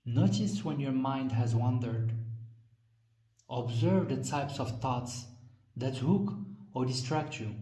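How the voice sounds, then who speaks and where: A man reading a guided meditation script in a calm, measured way with frequent pauses. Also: mid-range voice. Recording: roomy echo, distant from the mic.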